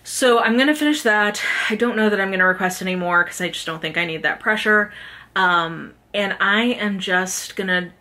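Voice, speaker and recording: Woman doing a chatty vlog, really bright forward voice, slangy and informal, middle pitch. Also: moderate pace. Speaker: book vlogger, host. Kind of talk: casual chat to camera, book review.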